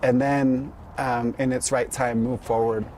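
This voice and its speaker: A man with a mid-range voice, speaking in a measured, deliberate way as a nonprofit director. Faint traffic noise hangs in the background. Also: mid-range voice, level tone, a little mellow. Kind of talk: news interview soundbite.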